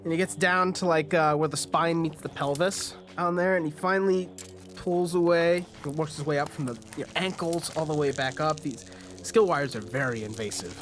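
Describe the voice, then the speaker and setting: A man's voice: a little high, moderate pace, fairly bright tone, soft background music. A man improvising descriptive narration as game master, phrasing it piece by piece.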